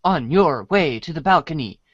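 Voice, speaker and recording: A man's voice: fairly high pitch, lively intonation, moderate pace, breathy edge, no room sound, dull audio. A man narrating a comic storybook script in the second person.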